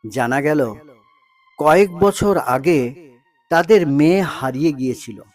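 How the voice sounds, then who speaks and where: Slow-paced, emotive male storyteller. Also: on the high side, animated, faint music behind. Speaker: storyteller, narrator. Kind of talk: dramatic scripted story narration in Bengali.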